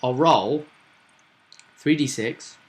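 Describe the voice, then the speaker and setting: A man's voice: mid-range voice, very slow. A man chatting casually as a game master, explaining a tabletop roleplaying game and often checking for agreement with tag questions.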